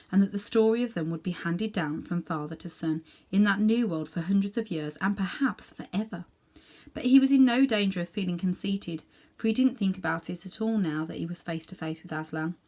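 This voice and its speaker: A woman reading a children's book aloud in an animated, dramatic way, sometimes softening toward hushed tones. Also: on the low side, fairly quick. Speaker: storybook reader. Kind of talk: expressive read-aloud narration for children.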